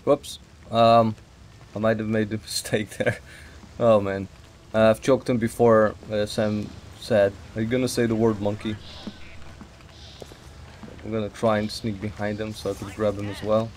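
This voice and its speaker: A man's voice: on the low side, little pitch movement, a little slow, long pauses, darkish tone, slight background noise. A man giving casual let's play commentary as he plays a video game. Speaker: gamer.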